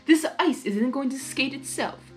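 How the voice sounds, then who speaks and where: A woman's voice: high voice, measured pace, darkish tone, soft background music, voice pushed up. A woman voicing a playful, theatrical male creature of chaos from a cartoon fan story, teasing and doting. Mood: eager.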